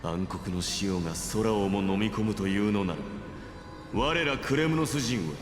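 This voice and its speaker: A haughty warrior prince, voiced by a male actor, declaims with proud defiance in a game drama. The sound is reverberant, with loud music behind him. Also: fairly low pitch, fast talker, lightly acted. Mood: proud resolve. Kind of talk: dramatic Japanese game voice acting. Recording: echoey game audio under loud music.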